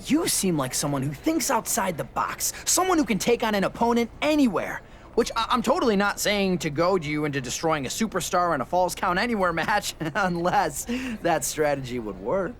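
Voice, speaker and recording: An actress playing a playful schemer in a video game, coyly teasing and goading a wrestler on. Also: female voice, fairly low pitch, big pitch swings, moderate pace, a little hiss or hum. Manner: coy, teasing. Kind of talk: scripted video game cutscene dialogue.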